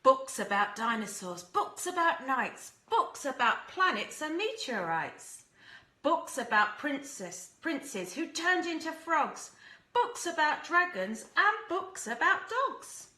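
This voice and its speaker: A woman reads a children's storybook with a high, very lively voice and a bright tone. She is deliberate and expressive, like a teacher with a young class, in a roomy, echoing space. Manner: animated, deliberate, acts out characters and asks listeners questions.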